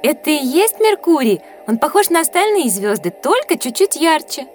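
A woman voicing a bright, attentive young pupil who is full of questions in an educational audio drama. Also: sits high, normal speed, soft background music. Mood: inquisitive. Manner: inquisitive, lively, attentive. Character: bright, inquisitive young pupil on an astronomy lesson.

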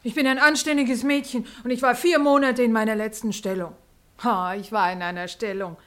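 Actress as defensive servant girl, fairly high voice. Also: steady tone, fairly quick, fairly bright tone, close to an everyday voice. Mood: defensive, indignant. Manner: plain-spoken, defensive. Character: defensive, indignant servant girl insisting she is respectable. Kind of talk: theatrical radio drama acting.

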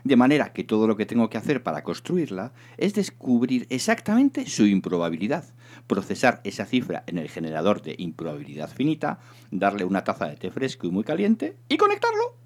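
A man's voice: on the low side, huge pitch swings, brisk. A man with an accent from Spain, co-hosting a book show with readings and casual chat. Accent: Spanish from Spain. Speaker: show co-host and reader. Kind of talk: comic novel reading and casual sign-off chat.

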